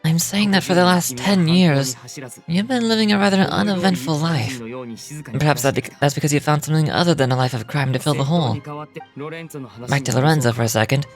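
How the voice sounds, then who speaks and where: A man voicing a theatrical young male stage magician from a game, knowing and cutting, with deliberate, pointed delivery. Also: a little high, soft background music, close to an everyday voice. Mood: accusing, solemn.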